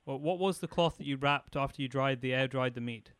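Smooth-voiced male host. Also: medium pitch, brisk, fairly bright tone, no echo. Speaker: podcast host. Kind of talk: casual interview chat.

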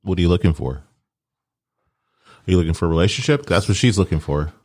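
A man's voice: sits low, lively intonation, quick pace, a little mellow. A man in casual chat, teasing and asking nosy questions.

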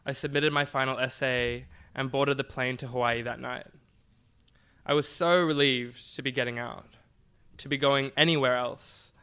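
A man's voice: medium pitch, fairly even intonation, normal speed, sharp tone, clean tone. A man performing a scripted comic personal story, wry and self-deprecating.

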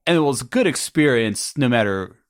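Measured male podcast host. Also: mid-range voice, lively intonation, no room sound, clean studio sound. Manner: measured, thoughtful, with small restarts. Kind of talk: interview questions.

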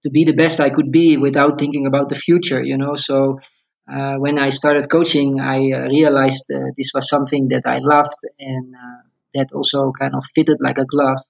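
A man's voice: mid-range voice, little pitch movement, normal speed, vocal fry, muffled recording. A man in his forties from the Netherlands with a Dutch accent, a coach chatting casually as an interview guest. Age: 40s.

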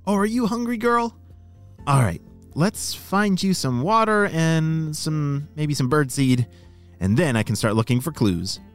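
Guy doing a plucky little girl spy, excitable, thinking out loud, voice all over the place, kids story. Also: fairly high pitch, unhurried, faint music behind. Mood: alarmed, then caring. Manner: excitable, thinking aloud to self. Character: Juliana, plucky resourceful young girl spy.

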